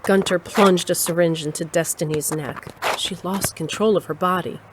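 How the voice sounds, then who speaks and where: An actress playing a storyteller who narrates an audio drama in a measured, matter-of-fact way. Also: female voice, fairly low pitch, fairly even intonation, background noise, lightly acted. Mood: grave, measured. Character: matter-of-fact female storyteller recounting her dark tale. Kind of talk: scripted narration.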